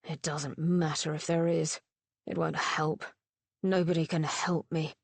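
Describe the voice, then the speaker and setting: Sneering world-weary gentleman, read by a woman, slow cutting sarcasm, dry close sound. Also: sits low, flat delivery, vocal fry, no echo, exaggerated voice. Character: sardonic, jaded gentleman rakehell, man.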